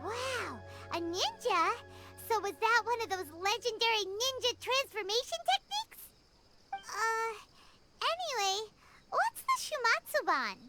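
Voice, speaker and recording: A very high-pitched little fairy girl in a video game, chattering with lively, swooping intonation over loud music. She is naive, inquisitive and quick to exclaim. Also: exaggerated voice. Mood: awed, curious. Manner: exclamatory, inquisitive, speaks of self in third person. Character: tiny excitable childlike floating fairy companion girl, naive and chatty. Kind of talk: English video game voice acting.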